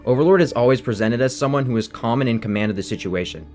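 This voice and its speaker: A man's voice: mid-range voice, fast talker, darkish tone, faint music behind. A man reading a scripted, analytical video essay narration about a game.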